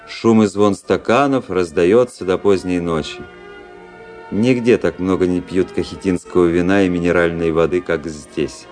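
An actor playing a cynical, cool young aristocratic officer in a Russian radio drama, with ironic, measured, literary delivery. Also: male voice, deep voice, a little mellow. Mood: reflective narrating.

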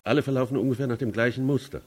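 An actor playing a composed, matter-of-fact man in a radio play, speaking huskily and deliberately. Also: mid-range voice, fairly even intonation, a little mellow, natural voice. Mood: calmly explaining. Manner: deliberate, explanatory. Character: composed male family lawyer, measured and matter-of-fact.

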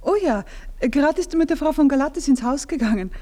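An actress playing an evasive young woman who drops to hushed whispers. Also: sits high, animated, fast talker. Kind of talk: radio play acting.

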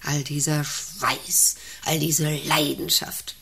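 A woman's voice: deep voice, flat delivery, slow, vocal fry, bright, forceful tone, breathy edge, full character voice. An actress in a German radio play playing an imperious, obsessive female factory boss with a haughty, commanding, brooding manner. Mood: solemn, intense.

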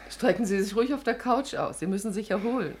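An actress playing a kindly, practical village schoolteacher in a 1950s radio play, gently insistent and caring. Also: female voice, mid-range voice, quick pace, close to an everyday voice. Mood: concerned. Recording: slightly noisy vintage radio recording.

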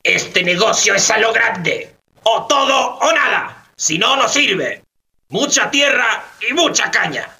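An actor playing a greedy, domineering colonial plantation magnate in a radio play, insistent and commanding. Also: male voice, high voice, sing-song, takes it slowly, gravelly, bright, forceful tone, full character voice. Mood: greedy, scheming. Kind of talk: radio theatre acting. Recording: distant from the microphone.

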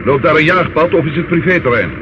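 An actor in a Dutch radio play, playing a commanding police inspector with a brisk, dryly probing manner. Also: male voice, a little high, close to an everyday voice. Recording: dull, noisy old radio recording.

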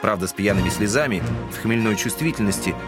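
A man narrates classic literature for an audio drama. His voice is on the low side, solemn and measured yet expressive. Prominent music runs beneath it.

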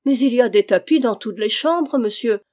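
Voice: medium pitch, lively intonation, moderate pace, darkish tone, natural voice. A reader voicing a fussy, wealthy male royal steward who exclaims and frets over his possessions, in an audiobook reading. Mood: indignant.

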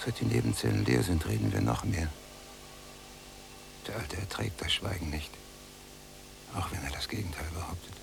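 An actor playing a brooding, embittered prisoner in a German radio drama, with a gravelly voice and a sardonic, often hushed delivery. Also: male voice, very deep voice, very animated, normal speed, long pauses, background noise, heavily acted. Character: brooding, embittered male prisoner, younger cellmate. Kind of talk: German radio drama acting, literary monologue.

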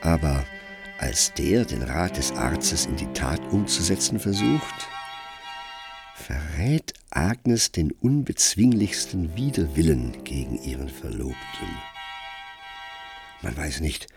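Low voiced guy narrating a radio drama, literary, really varied intonation, string music behind. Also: creaky. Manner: measured, expressive storytelling.

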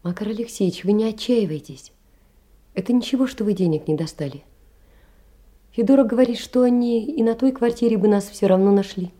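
An actress playing a gentle, poor young woman in an audio drama, speaking softly and consolingly. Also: mid-range voice, fairly quick, close to an everyday voice. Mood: tender, consoling. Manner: soft, breathy, consoling. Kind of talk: theatrical audio drama letter reading.

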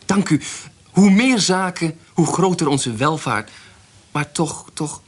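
An actor playing a fawning town magistrate in a radio drama, formal, gallant and unctuous. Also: male voice, a little high, takes it slowly, breathy edge. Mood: curious, flattering. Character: fawning small-town magistrate, flattering a young widow.

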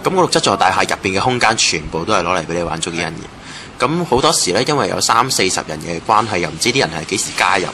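A man's voice: deep voice, big pitch swings, fast, sharp tone. A man with a Hong Kong Cantonese accent, casually sharing recollections as an interviewee. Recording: noisy ambient location recording.

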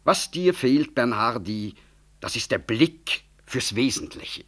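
Pompous smooth politician in an old radio play, very animated pitch swings, condescending. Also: male voice, slow, heavily acted. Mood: self-justifying, condescending. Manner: rhetorical, condescending, diplomatically evasive. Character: smooth, ambitious male government official, pompous and calculating. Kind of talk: 1960s German radio play acting.

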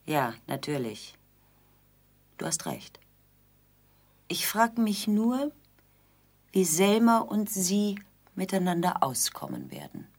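A reproachful, needling bride in a radio drama. Her voice is low for a woman and somewhat husky. She delivers her lines theatrically, with a dry, pointed sense of grievance. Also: wildly swooping pitch, slow pace, pauses often, a little mellow, heavily acted.

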